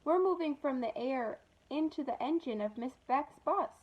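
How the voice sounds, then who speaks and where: A studious storybook schoolgirl, read by a woman, with a high voice. She explains her research in a matter-of-fact way. The sound is a little dull and lo-fi. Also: expressive, normal speed.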